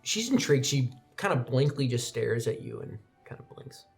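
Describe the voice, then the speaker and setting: A man runs a tabletop roleplaying game, describing scenes as game master. His voice sits at a middle pitch, with quiet music playing underneath. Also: big pitch swings, moderate pace, gravelly.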